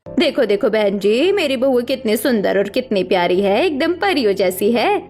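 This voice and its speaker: A woman's voice: on the high side, sing-song, moderate pace, a little mellow. A woman voicing a proud, doting middle-aged mother-in-law in a cartoon story, gushing with showy praise. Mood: proud, boasting. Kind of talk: dramatic Hindi cartoon story acting.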